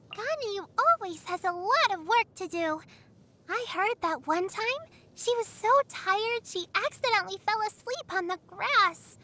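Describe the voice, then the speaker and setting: A woman's voice: very high voice, sharp tone, full character voice. A woman voicing a sweet, earnest little girl in an anime game, caring, innocent and chatty. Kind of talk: anime game character voicelines. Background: background music.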